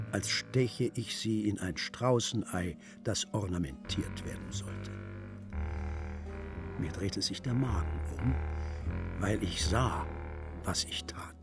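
Old master painter in a radio play, grave, devout, measured, drops to a near whisper, music underneath. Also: male voice, on the low side. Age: older. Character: old master miniaturist, blinding himself, solemn and devout. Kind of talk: literary radio drama, first-person narration and dialogue.

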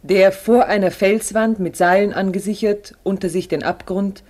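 An actress as the narrator of a 1950s radio play, declaiming scripted literary lines solemnly. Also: female voice, mid-range voice, steady tone, moderate pace, a little mellow, lightly acted.

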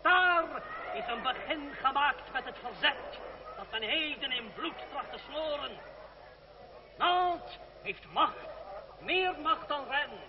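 A dull old radio recording with a noisy crowd behind. a young revolutionary orator in a very high, ringing pitch, slow and declamatory, rousing townsfolk with impassioned rhetoric. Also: male voice, lively intonation, heavily acted. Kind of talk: radio drama speech to a crowd. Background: crowd noise.

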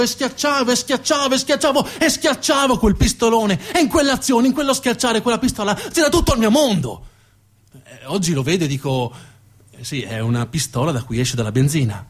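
A man delivers a theatrical monologue as a storyteller. His pitch runs high for a male voice and moves widely, with dramatic emphasis and repeated phrases. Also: slightly rough.

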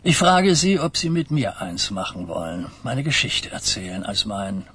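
Very husky ageing Mafia boss. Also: male voice, on the low side, lively intonation, moderate pace, a bit bright. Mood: insistent. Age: older. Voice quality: husky, rough. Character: ageing male Mafia boss, reflective, quietly commanding. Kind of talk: radio drama acting.

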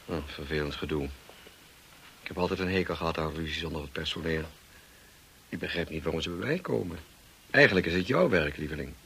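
An actor in a Dutch radio drama playing the lordly, irritable master of a manor, brisk and authoritative with staff and wife. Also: male voice, rumbling low, gravelly, a bit bright, full character voice. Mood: annoyed. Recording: hissy old radio play recording.

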